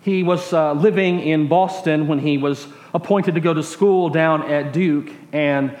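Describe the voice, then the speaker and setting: An American man from Texas preaching through personal stories in a reflective, halting way with dry humorous asides. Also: a little high, darkish tone. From Texas, USA. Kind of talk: sermon storytelling. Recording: echoey room.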